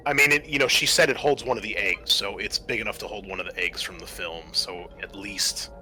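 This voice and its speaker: A man's voice: lowish voice, brisk, sharp tone, soft background music, rough recording. A man acting as game master, improvising the narration of a tabletop game.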